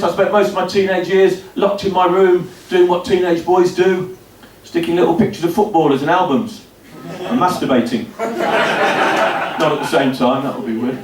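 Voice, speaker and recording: A man's voice: a little high, heavy background noise. Self-deprecating comic in his fifties. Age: 50s. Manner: dry, self-deprecating storytelling with punchy asides. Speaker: stand-up comedian. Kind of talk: stand-up comedy set. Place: comedy club stage before a laughing audience. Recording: roomy live venue recording from a distance.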